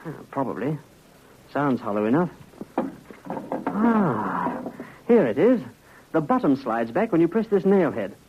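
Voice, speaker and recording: An actor playing a diligent, deferential police detective in a 1950s radio drama, matter-of-fact and respectful. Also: male voice, a little high, big pitch swings, measured pace, long pauses. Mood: businesslike. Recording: muffled old radio recording with faint noise.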